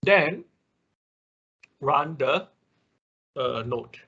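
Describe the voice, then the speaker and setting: Higher-voiced male online instructor, dull audio. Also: animated, drawn-out words, bright, forceful tone, slightly rough. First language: not English. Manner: step-by-step explaining, often checking with "okay" and "right". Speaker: instructor of an online robotics programming class. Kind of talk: live tutorial with screen demonstration.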